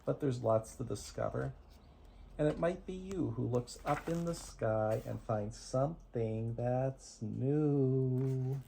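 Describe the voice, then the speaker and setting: Bedtime storyteller, parent type, unhurried and gravelly, rhythmic rhymes, slightly noisy amateur home recording. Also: medium pitch, dark tone. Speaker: parent reading bedtime stories. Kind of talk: reading picture books aloud to a toddler.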